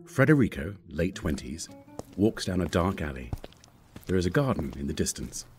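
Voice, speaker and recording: A man's voice: deep voice, big pitch swings, moderate pace, music in the background. A man narrating a screenplay, reading out scripted scene descriptions.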